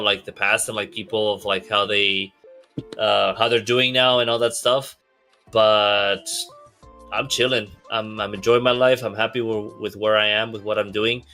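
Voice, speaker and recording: A man's voice: on the low side, pushed and bright. A man in his twenties chatting on a podcast in a laid-back way, swearing freely and padding with fillers. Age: 20s. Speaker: podcast guest. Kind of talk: casual chat on a group call.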